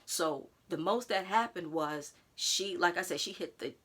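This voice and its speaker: A woman vlogger telling a personal story straight to her viewers in a casual, animated way. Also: lowish voice, a little slow, breathy edge. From United States. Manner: animated, talks straight to viewers with "y'all", acts out others' lines.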